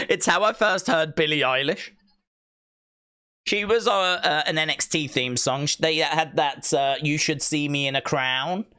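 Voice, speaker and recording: A man hosting a casual livestream, chatting to viewers in a rambling, joking way with deadpan asides. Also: on the high side, clean tone. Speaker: livestream host. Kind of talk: casual watch-along chat, reading viewer comments.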